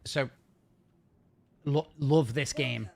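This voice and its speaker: A man's voice: mid-range voice, creaky, a little mellow. Chatty man streaming. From north of England. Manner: chatty, rambling, fast-talking. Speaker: game streamer. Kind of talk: casual live-stream chat. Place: home gaming setup.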